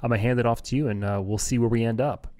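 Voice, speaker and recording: A man co-hosting a podcast, introducing the guests in an earnest, measured way with frequent fillers. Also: mid-range voice, steady tone, darkish tone, dry recording. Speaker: podcast co-host.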